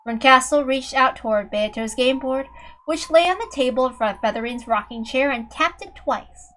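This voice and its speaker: A woman's voice: sits high, moderate pace, a bit bright. A woman reading a fantasy story aloud as an amateur narrator, in a dramatic style. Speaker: amateur reader.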